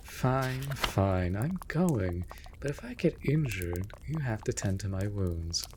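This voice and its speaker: A man's voice: fairly low pitch, big pitch swings, takes it slowly. A man playing a wry, put-upon winged animal familiar in a fantasy audio roleplay, with teasing, dramatic, formal diction. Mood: indignant, grumbling. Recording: clear background noise.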